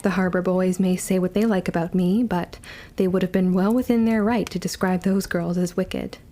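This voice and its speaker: An actress playing a young orphan witch who speaks in formal, measured, old-fashioned words, wry, as in period audio drama narration. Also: on the low side, fairly even intonation, dark tone, close to an everyday voice. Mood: wry reminiscence.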